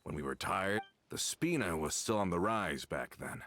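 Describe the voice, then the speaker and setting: A man's voice: sits low, animated, moderate pace, creaky, a bit bright, heavily acted. An actor playing a loyal, easygoing veteran henchman in an anime-style video game, casual and nostalgic in manner. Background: background music.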